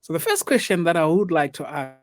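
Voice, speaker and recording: A man's voice: on the high side, big pitch swings, brisk, dry recording. A man from Zimbabwe in his forties, moderating a business panel in a formal, welcoming way. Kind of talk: hosting a panel discussion.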